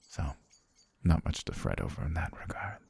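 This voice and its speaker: A man voicing an obsessive, soft-spoken butler who has kidnapped his lady, talking in a hushed, half-whispered, gently menacing way for an ASMR roleplay. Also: extremely low pitch, big pitch swings, normal speed, creaky, husky, no room sound, exaggerated voice. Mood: soothing possessive. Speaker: voice actor.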